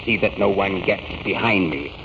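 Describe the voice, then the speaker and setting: An actor playing a proud, scheming army general in a 1930s radio serial, blustering and imperious. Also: male voice, low voice, normal speed, close to an everyday voice. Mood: scheming, determined. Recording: noisy, dull old radio recording.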